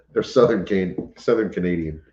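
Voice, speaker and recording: A Cajun man hosting a casual homestead livestream, easygoing and quick to chuckle. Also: deep voice, warm tone. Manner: easygoing, chuckles often, occasional coughs. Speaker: homestead channel host. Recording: echoey room, dull lo-fi sound.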